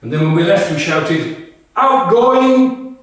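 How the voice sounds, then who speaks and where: Guy reading as tired blunt female soldier, dry humour, takes it slow, super echoey room. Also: high voice, animated, voice pushed up. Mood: amused. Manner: wry, conversational, profane. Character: Tonya, weary, blunt female Ukrainian soldier. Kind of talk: dramatic monologue read to a live audience. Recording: very echoey room, distant microphone.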